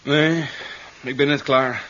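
An actor playing a brisk, jovial, slightly eccentric doctor-scientist in a 1970s radio play, hearty one moment and muttering to himself the next. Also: male voice, mid-range voice, steady tone, bright, forceful tone. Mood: businesslike. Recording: muffled 1970s radio recording with hiss.

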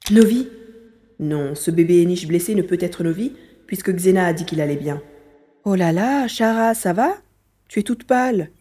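An earnest young boy, voiced by a woman, speaks with a mellow, rounded tone. The manner is caring and a little worried, in the style of an audio drama. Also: on the low side, expressive, normal speed. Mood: concerned. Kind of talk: French audio drama acting.

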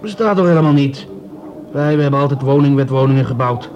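An actor plays an unemployed male bricklayer in a radio play. He is earthy, sceptical and fond of a drink, with a blunt, grumbling way of talking. His voice sits at a middle pitch, amid clear café chatter. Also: quick pace, highs cut off, lightly acted. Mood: mocking. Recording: café crowd behind the voice.

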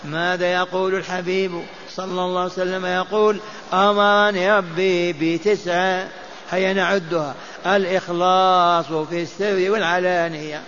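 A man's voice: high-pitched, little pitch movement, moderate pace, fairly bright tone, slight background noise. Older man teaching in a mosque, chanting. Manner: chants and repeats texts for memorizing. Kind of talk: mosque lesson on hadith. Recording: dull lo-fi lecture recording.

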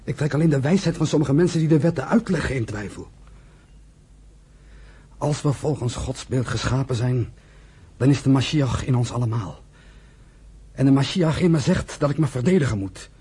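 Opstandige jonge zoon, hese stem. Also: male voice, medium pitch, moderate pace, long pauses, mellow, slightly rough. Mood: defiant. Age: young adult. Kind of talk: radio drama acting.

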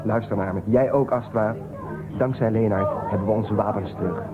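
An actor playing a tough male space crewman in a radio drama, blunt and forceful. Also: on the low side, moderate pace, over a noisy crowd, natural voice. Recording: tinny lo-fi recording with clear noise.

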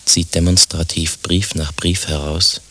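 Radio play narrator guy, super deep n husky, deadpan, totally flat stage directions. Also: measured pace, noisy background.

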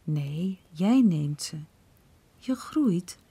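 An actress playing Marietje, a gentle, motherly village mother, in a radio drama. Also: on the low side, animated, very slow, vocal fry, husky, dry recording, heavily acted. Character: warm fussing mother Marietje, middle-aged.

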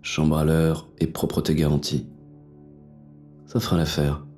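Over a music bed comes a very low voice: a hard-boiled, cynical fugitive acted by a man in a noir audio drama. He tells his own story in French-accented, crude and terse slang, like a wounded gunman on the run. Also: monotone, unhurried, mellow. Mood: grim, cynical. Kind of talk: first-person noir audio fiction narration.

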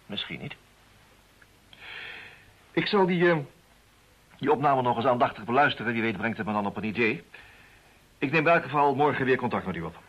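A private detective in a radio drama. His husky voice moves freely up and down while staying businesslike and dryly wry. The recording sounds muffled and lo-fi. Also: medium pitch, expressive, brisk, pauses often, a bit bright. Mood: probing. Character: dry, methodical male private detective. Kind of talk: radio play acting. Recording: dull lo-fi old radio sound.

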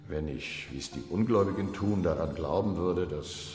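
A male actor plays an imperious Ottoman sultan in a radio drama. The voice is low and commanding, with formal, weighed phrases. It rings through an echoey hall over clear music. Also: moderate pace, close to an everyday voice. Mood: grave, pondering. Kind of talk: literary radio drama acting. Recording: echoey hall with long reverb, music behind.

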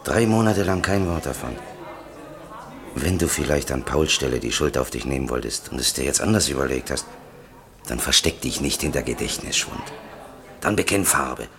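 An impatient, plain-spoken defender in a radio crime play. His voice is extremely low and full of gravel, with an exasperated edge. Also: animated, normal speed, a bit bright, full character voice. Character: blunt, impatient older-style defender, exasperated with his workmates. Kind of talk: German radio crime drama acting.

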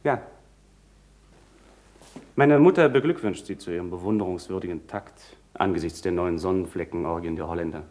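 An actor playing a genteel, urbane young gentleman in a German radio play, formal and a little gossipy. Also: low-pitched, moderate pace, long pauses, close to an everyday voice. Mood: wry, gossipy.

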